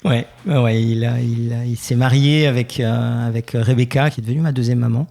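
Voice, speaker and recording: Gravelly-voiced Swiss French man, thoughtful. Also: medium pitch, fairly even intonation, a little fast, warm tone. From Geneva, Switzerland. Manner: thoughtful, hesitant, many fillers and restarts. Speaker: podcast guest. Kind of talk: reflective personal interview.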